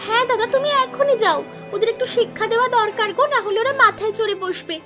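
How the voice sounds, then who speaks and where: A woman's voice: very high voice, a little fast, a bit bright, soft background music. A woman voicing a sly, needling village gossip who stirs up trouble in a Bengali cartoon. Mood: scheming, mocking. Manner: needling, insinuating.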